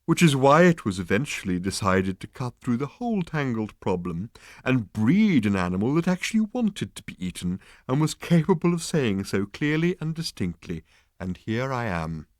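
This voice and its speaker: A man voicing a polite, placid bovine creature that offers itself as dinner, in slow, soft, courteous tones. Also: medium pitch, very animated, no room sound, studio quality, voice pushed up, close to an everyday voice.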